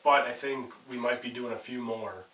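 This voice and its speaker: A man's voice: medium pitch, steady tone, normal speed, fairly bright tone, distant mic, a little hiss or hum. A man chats through a horror show wrap-up, his voice pinched and nasal from a cold. He drifts into restarts and casual swearing. He sits back from the mic, and the room echoes around him. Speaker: podcast co-host. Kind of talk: casual chat.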